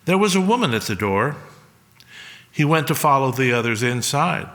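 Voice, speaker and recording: A man reading a solemn scripted story in a measured way, with pauses. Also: mid-range voice, big pitch swings, a bit bright. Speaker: reader at a church service. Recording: long hall reverb.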